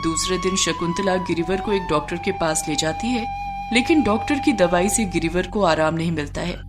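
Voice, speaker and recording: A woman's voice: on the low side, moderate pace, music in the background. A woman narrating a scripted moral story.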